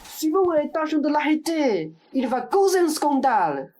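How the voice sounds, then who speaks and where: A woman's voice: sits high, very animated, moderate pace, mellow, a little hiss or hum. A young woman playing a matter-of-fact, scheming male accomplice in a student radio play. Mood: alarmed. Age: young adult. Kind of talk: theatrical radio-play acting.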